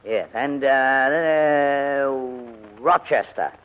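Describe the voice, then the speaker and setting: An actor playing a proud, cantankerous elderly English gentleman in a wordy, pompous 1940s radio drama style. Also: medium pitch, very slow, slight background noise. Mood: stubborn, huffy. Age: older. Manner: pompous, wordy, indignant, hesitating. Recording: dull vintage radio broadcast recording.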